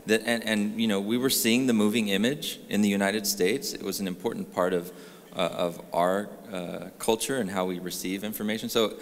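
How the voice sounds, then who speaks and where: A man in his thirties from California, earnestly explaining his ideas at a panel talk, holding a mic and restarting sentences. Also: fairly low pitch. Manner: earnest, gestures while talking, many restarts. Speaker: artist panelist. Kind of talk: panel discussion. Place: gallery room with a live audience. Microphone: handheld mic. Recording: reverberant gallery hall.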